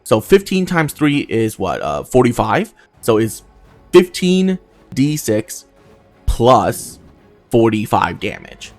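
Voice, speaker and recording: Creaky-voiced man doing casual game commentary. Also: medium pitch, sing-song, takes it slowly, a little mellow, dry recording, soft background music. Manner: thinking aloud, casually profane. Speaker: gaming video host.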